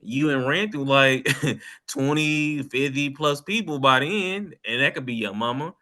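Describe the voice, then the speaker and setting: An American man chatting casually as a podcast co-host, animated and slangy, quick to interject. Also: mid-range voice. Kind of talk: casual chat.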